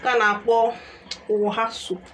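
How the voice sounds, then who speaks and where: A woman's voice: a little high, level tone, measured pace, very noisy. A young woman with a Nigerian accent chatting casually to viewers as a cooking vlogger, recorded at home on a phone. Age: young adult. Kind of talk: casual vlog chat to viewers. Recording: music over the voice.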